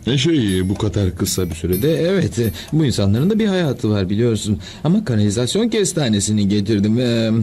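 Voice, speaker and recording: A man's voice: lowish voice, big pitch swings, fairly quick, hardly any pauses, dark tone, slight background noise. An actor, a man, playing a laid-back, cocky, evasive hero with an offhand wisecracking manner in a cartoon dub.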